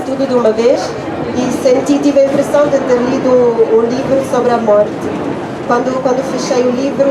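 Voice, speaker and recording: A woman's voice: a little high, heavy background noise. A young adult woman, speaking Portuguese as a second language, talks hesitantly and thoughtfully about poetry at a book launch, with many restarts and fillers. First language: not Portuguese. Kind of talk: unscripted talk at a book launch. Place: book fair in a public space. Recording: echoey, mic far off, loud crowd behind.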